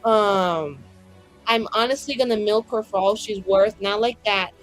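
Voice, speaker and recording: A woman's voice: medium pitch, unhurried, soft background music, natural voice, rough recording. A woman improvising a flippant, dramatic, self-deprecating adventurer in a tabletop roleplaying game. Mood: defensive, joking.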